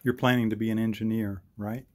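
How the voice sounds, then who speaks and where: Low-voiced middle-aged man, dry teasing. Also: little pitch movement, fairly quick, darkish tone. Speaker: person filming and supervising. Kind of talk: casual chat.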